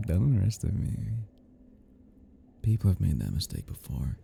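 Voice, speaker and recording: A man playing an obsessed, giddy and menacing crime scene cleaner in an intimate audio roleplay, rambling in a husky voice that drops into whispers. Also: low-pitched, very animated, normal speed, warm tone, a little hiss or hum, exaggerated voice. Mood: taunting, menacing. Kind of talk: intimate ASMR-style dramatic roleplay.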